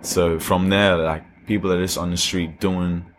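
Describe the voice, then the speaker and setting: A man's voice: sits low, little pitch movement, unhurried, a little hiss or hum. A young man from Australia and Nigeria, a skater guest chatting loosely with slang and lots of fillers. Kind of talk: casual chat.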